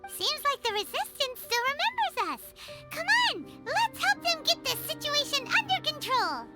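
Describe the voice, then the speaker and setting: A squeaky, nosy fairy companion. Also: female voice, normal speed, full character voice. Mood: determined. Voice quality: squeaky, childlike. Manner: excitable, blurts questions. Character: tiny floating fairy companion, chirpy, nosy. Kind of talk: video game voice acting. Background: game music.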